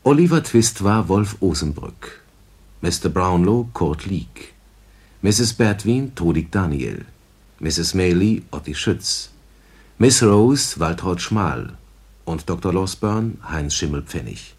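A man formally reading the credits of a 1950s radio play in a deliberate announcer's manner. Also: deep voice, expressive, husky.

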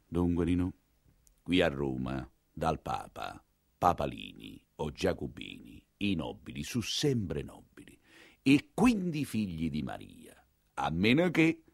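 Guy doing boozy old cardinal, grumbly n pompous, big swings in pitch, dry sound. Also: very animated, takes it slowly, gravelly, no echo, full character voice. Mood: grumbling, confiding. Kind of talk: theatrical monologue dialogue.

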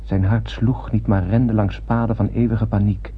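Eager young spaceman, awestruck and poetic radio-drama delivery, slightly low pitch, dull old recording. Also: flat delivery, moderate pace. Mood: awed, brooding. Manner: earnest, poetic, literary delivery. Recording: muffled radio play, clear background hum.

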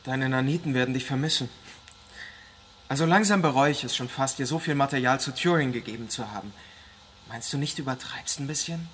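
An actor in a radio drama playing a mildly sceptical male colleague, casual and gently teasing. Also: mid-range voice, brisk, a little hiss or hum, natural voice. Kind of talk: naturalistic radio drama dialogue.

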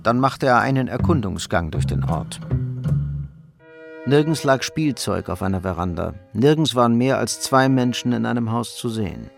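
A man's voice: medium pitch, big pitch swings, moderate pace, creaky. Casting: male narrator for radio thriller, mid-range voice, scripted storytelling, faint guitar music underneath.

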